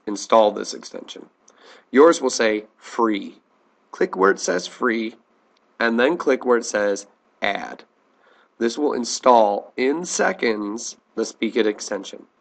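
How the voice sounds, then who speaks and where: An American man teaching a software tutorial in a plain, step-by-step instructional manner. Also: medium pitch, gravelly. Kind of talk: instructional screencast narration.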